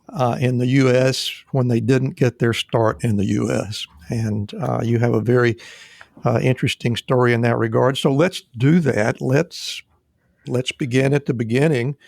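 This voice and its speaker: Host: man, gravelly mid voice, dry close mic, halting. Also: medium pitch, no room sound. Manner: halting, many fillers and restarts. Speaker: podcast host. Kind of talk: podcast interview.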